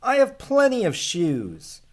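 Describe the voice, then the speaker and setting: A man's voice: extremely high pitch, huge pitch swings, slow pace, voice pushed up, full character voice. An American man voicing a short, sceptical storybook man who is hard to impress, exclaiming dismissively.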